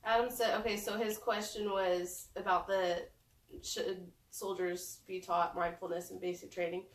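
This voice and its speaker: A woman's voice: mid-range voice, flat delivery, a little slow, distant mic. A woman co-hosting a casual podcast who steers the conversation and laughs easily. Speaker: podcast co-host. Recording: roomy, off-mic sound.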